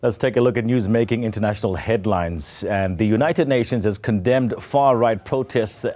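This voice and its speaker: A man anchoring a television news bulletin, his voice sitting on the low side. He moves between stories briskly, in a conversational tone. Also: darkish tone. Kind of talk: news presenting.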